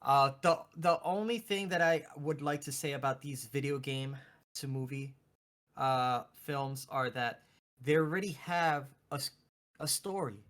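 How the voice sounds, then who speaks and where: A man chatting casually about games and movies as a podcast co-host, in an excitable, emphatic, slangy way. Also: medium pitch, unhurried. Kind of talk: casual video game and movie chat.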